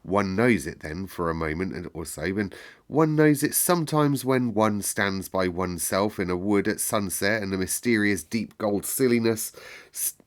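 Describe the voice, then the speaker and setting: A man reading a children's novel aloud in an expressive storytelling manner. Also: fairly low pitch, normal speed.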